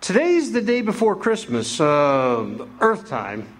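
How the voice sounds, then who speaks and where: A man's voice: high voice, huge pitch swings, slow, exaggerated voice. A man playing a kindly senior angel who narrates the story in an amateur stage radio drama, in a folksy storytelling manner.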